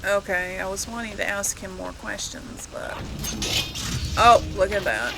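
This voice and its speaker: Gamer lady thinking out loud, voice goes up and down a lot, really loud game noise behind her. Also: medium pitch, big pitch swings, measured pace, vocal fry. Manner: chatty, thinking aloud. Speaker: gamer narrating a playthrough. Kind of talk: casual live game commentary. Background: video game battle sounds.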